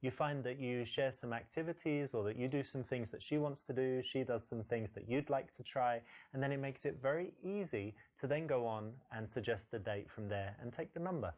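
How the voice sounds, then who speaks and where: Man giving measured dating advice. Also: medium pitch, darkish tone. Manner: measured, explaining, advising. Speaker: dating coach narrator of a game. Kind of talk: instructional voice-over.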